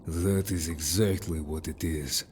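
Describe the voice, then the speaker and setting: A man's voice: rumbling low, fairly even intonation, a little slow, a little mellow, a little hiss or hum. A man playing a stern, wary merman in an audio story, curt and grave, distrustful of humans. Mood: guarded, businesslike. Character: stern, wary, disapproving merman information gatherer. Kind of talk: audio story character acting.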